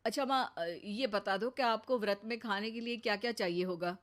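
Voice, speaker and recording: A woman voicing a gentle young son. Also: fairly high pitch, steady tone, fairly quick, lightly acted. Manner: gentle, reasoning. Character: caring young adult son.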